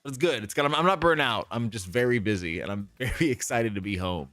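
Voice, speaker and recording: Animated, laughing man telling stories. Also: medium pitch, fast talker, dry recording, faint music behind. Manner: animated storyteller, laughs often, acts out people he quotes. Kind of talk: casual interview chat.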